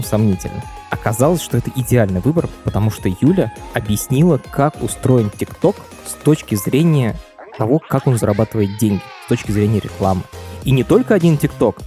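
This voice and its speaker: A man hosting a podcast, introducing his guest and explaining things in a quick, chatty way. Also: medium pitch, dark tone. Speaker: podcast host. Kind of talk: podcast conversation.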